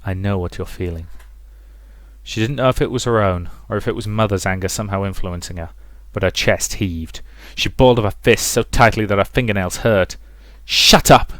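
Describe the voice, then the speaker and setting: A man's voice: lowish voice, brisk, few pauses, no echo. A man reading a visual novel as a dramatic scripted narration, with music underneath. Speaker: let's play narrator.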